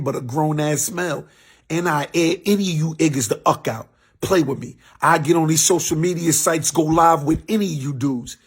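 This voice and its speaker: A man's voice: a little high, breathy edge. An actor playing a boastful man in his forties who brags about jail, in a satirical skit with a swaggering, repetitive chant. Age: 40s.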